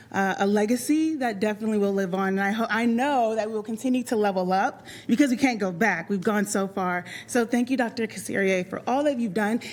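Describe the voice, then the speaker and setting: A woman from Liberia giving a lively, heartfelt public comment at a meeting podium. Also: a little high. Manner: lively and heartfelt, laughs between thoughts.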